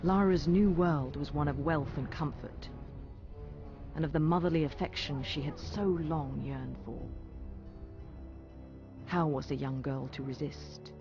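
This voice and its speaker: A man acting as a long-lived storyteller, narrating a young girl's tale in a measured, wistful, literary manner for a game. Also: fairly high pitch. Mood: solemn, wistful. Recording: dull audio under loud music.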